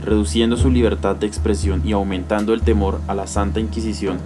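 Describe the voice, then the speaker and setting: A man's voice: on the low side, level tone, fairly quick. A young man reading scripted educational narration for radio. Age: young adult. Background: music.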